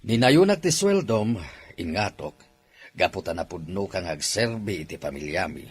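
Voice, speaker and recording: A man's voice: deep voice, sing-song, normal speed. A man voicing a kindly, authoritative older company owner who speaks to his son and his housemaid in a radio drama. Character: older male company owner, father and employer.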